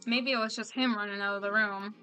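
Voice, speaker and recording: A woman's voice: medium pitch, steady tone, fast, sharp tone, soft background music. A woman streamer giving casual, chatty commentary on a game, thinking aloud as she plays.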